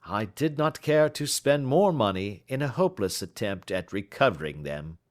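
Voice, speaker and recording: A man voicing a learned, down-on-his-luck older gentleman in an audiobook, courteous and slightly pompous, choosing words with slow staccato care. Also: fairly low pitch, animated, natural voice. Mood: rueful. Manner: slow staccato, careful word choice, pompous. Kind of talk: audiobook character acting.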